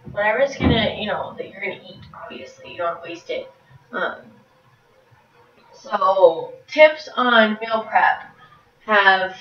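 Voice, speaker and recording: A woman's voice: mid-range voice, a bit bright. Chatty woman in an echoey kitchen. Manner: rambling, many ums, moves around while talking. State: getting over a cold. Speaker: fitness vlogger. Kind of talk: casual how-to chat. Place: home kitchen. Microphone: far camera. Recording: roomy, distant, dull camera audio.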